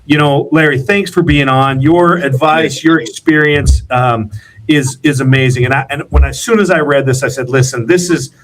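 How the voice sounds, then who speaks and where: Man, podcast host; warm praise, lots of restarts. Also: fairly high pitch, normal speed, a little hiss or hum, rough recording. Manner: enthusiastic, many restarts and fillers. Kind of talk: casual interview, scripted outro.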